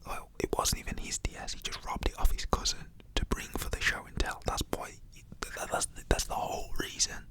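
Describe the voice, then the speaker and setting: A man's voice: a little fast, few pauses. A young man, whispering a rambling personal story to the camera with lots of "like" fillers. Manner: whispers throughout. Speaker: storytelling vlogger.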